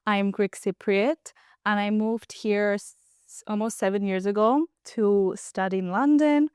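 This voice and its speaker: A woman's voice: medium pitch, unhurried, darkish tone, clean tone, no room sound, clean studio sound. A woman in her twenties from Cyprus, an app founder chatting casually as an interview guest and laughing easily. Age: 20s.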